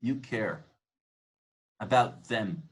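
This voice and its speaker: A man's voice: lowish voice, extremely slow. Man lecturing, earnest and reflective. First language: Hebrew. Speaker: tour guide instructor. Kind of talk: informal lecture on guiding.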